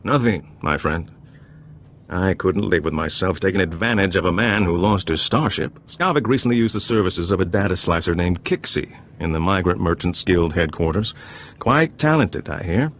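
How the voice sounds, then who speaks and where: An actor playing a charming, worldly older gambler and informant in a video game, suave, genteel and self-assured. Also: male voice, low-pitched, expressive, normal speed, a bit bright, slight background noise. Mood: assured, helpful.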